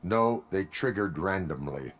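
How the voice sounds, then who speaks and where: An actor playing a terse, stern cyborg police officer in a video game, speaking in clipped deadpan statements. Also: male voice, sits low, a little slow. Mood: flat, guarded.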